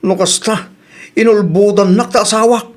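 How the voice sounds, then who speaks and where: An actor with an Ilocano accent playing a wronged, fed-up young husband in a radio drama. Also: male voice, high voice, steady tone, moderate pace, darkish tone, natural voice. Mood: polite, troubled. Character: wronged, fed-up young husband confronting his lying wife.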